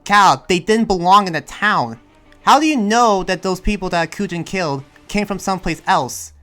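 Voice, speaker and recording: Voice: mid-range voice, lively intonation, normal speed, fairly bright tone, faint music behind, lightly acted. A performer playing a defensive, insistent town protector who argues for trusting demons in an improvised anime roleplay. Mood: exasperated, pleading.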